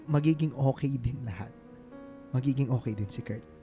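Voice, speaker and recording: An actor playing a devoted, reassuring suitor in a Filipino radio drama, speaking earnestly and mixing in English. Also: male voice, mid-range voice, normal speed, warm tone, faint music behind, close to an everyday voice.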